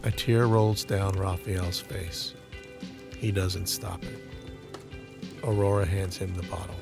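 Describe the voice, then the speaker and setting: Deep voiced guy reading scripted audio drama narration, music behind him. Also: flat delivery, takes it slowly, gravelly.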